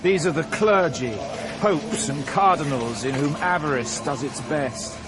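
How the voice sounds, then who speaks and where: Man as solemn, higher-voiced poet guide. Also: music in the background, close to an everyday voice. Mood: solemn, grave. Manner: measured, grave, reassuring. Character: calm, solemn ancient poet guiding through hell. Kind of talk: dramatized audio literature.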